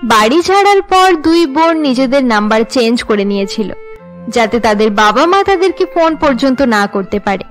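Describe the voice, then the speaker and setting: A woman's voice: high voice, animated, normal speed, soft background music. A woman giving scripted narration for a cartoon story.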